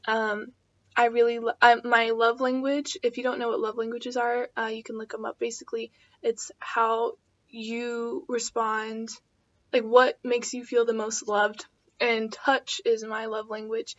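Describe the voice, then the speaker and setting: A woman's voice: fairly high pitch, level tone, a little slow. A woman in her twenties chatting casually as a vlogger, answering tag questions in a rambling way full of fillers. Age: 20s. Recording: dull lo-fi home video audio.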